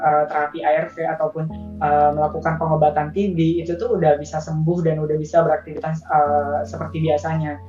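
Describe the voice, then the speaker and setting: A woman speaks in a low, fairly flat voice, casual and earnest, like an interview guest encouraging friends. The sound is echoey and a little dull, set back from the microphone, with music behind. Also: recorded from a distance. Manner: earnest, informal, addressing viewers as friends. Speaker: interview guest, patient sharing their story.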